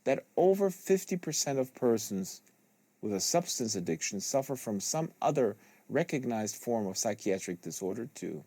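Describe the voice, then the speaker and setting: A man's voice: medium pitch, sing-song, normal speed, warm tone, slightly rough, no room sound. Man reading literary prose, very dry sound. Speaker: host reading a novel aloud. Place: small studio with acoustic foam panels. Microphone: close studio microphone.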